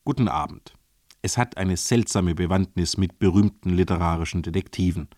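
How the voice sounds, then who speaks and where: A man presenting a scripted literary introduction on the radio in a measured, formal way. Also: low-pitched, a little mellow, dry recording, clean studio sound. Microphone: close studio microphone.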